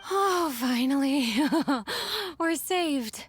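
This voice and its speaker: A woman's voice: sits high, animated, drawn-out words, slight background noise, heavily acted. An actress playing a weary, adoring female office clerk in an anime game, speaking reverently. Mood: relieved.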